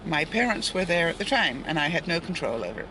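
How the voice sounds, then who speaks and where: A woman's voice: on the low side, big pitch swings, fairly quick. A woman, older, talking to viewers in a casual vlog while outdoors by a street in light rain, rambling along with asides and little chuckles. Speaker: daily vlogger. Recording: noisy outdoor street with passing cars. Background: traffic and street ambience.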